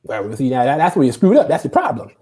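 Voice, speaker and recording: A woman's voice: rumbling low, sing-song, fast talker, mellow. A woman playing an opinionated, boastful old male barber in a comedy table read, folksy and argumentative. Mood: advising.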